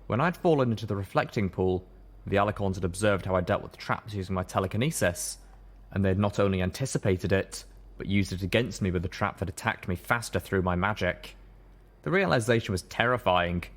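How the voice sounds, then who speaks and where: A man's voice: deep voice, brisk. A man reading a story aloud as a scripted narrator. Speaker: narrator, audiobook reader. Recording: echoey room, audible background noise.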